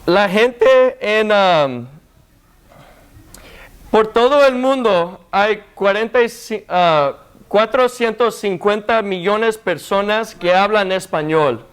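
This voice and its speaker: A man's voice: sits high, takes it slowly, fairly bright tone, clean tone. A young American man whose first language is English, preaching a hesitant sermon in a second language with many fillers and restarts, speaking to a congregation. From United States. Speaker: guest preacher.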